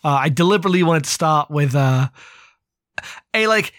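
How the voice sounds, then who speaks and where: A man with a lightly high-pitched voice talks casually on a book podcast. He is chatty and wry, wandering through his thoughts. The sound is dry, with very little room in it. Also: lively intonation, moderate pace, fairly bright tone, no echo. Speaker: podcast co-host.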